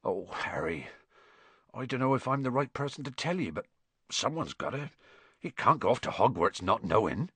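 A big gruff rustic giant for a storybook, halting folksy talk, rough husky voice, really animated. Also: male voice, mid-range voice, dry recording, heavily acted. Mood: worried. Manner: folksy dialect, dropped consonants, halting. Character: gruff giant man, rustic, hot-tempered but kindhearted.

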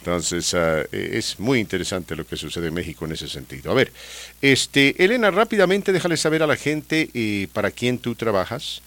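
A man hosting a radio talk show, chatting casually and often pausing with fillers and restarts. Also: on the low side, big pitch swings, fairly bright tone, no echo. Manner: hesitant, many fillers and restarts.

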